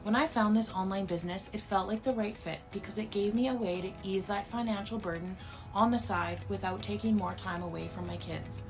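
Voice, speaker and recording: A woman's voice: mid-range voice, brisk, over music. A woman from Alberta, a teacher and single mother, earnestly sharing her story. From Alberta, Canada. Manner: earnest, open delivery. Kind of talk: personal testimonial.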